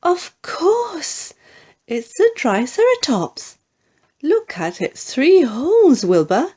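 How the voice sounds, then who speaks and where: Woman acting an excitable storybook witch, swooping pitch. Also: takes it slowly, exaggerated voice. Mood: excited. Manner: exclaiming, eager.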